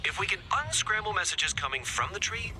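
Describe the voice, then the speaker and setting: A man's voice: sits high, animated, fairly quick, sharp tone, faint music behind, full character voice. A man voicing a wry male field agent who leads the mission in a casual, wisecracking manner, in video game voice acting. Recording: game audio with background noise.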